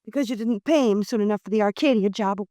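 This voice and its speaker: A woman's voice: mid-range voice, fast, mellow, dry recording, studio quality, lightly acted. An actress playing a petite blonde woman who speaks in a sweetly solicitous, coaxing way, in a 1950s radio drama. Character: petite blonde woman, cool and smooth-talking.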